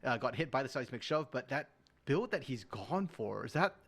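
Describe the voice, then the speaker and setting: A man gives colour commentary on a live esports match, working through each team's choices out loud. His pitch swings widely as he talks, so he sounds animated and engaged even while he explains slowly. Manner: analytical, lively intonation. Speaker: colour caster.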